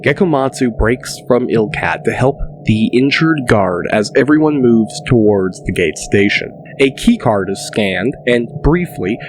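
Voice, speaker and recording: A male narrator reads a dramatic fantasy audiobook. His delivery is slow and deliberate, at an average pitch, with music playing clearly behind him. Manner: deliberate, descriptive.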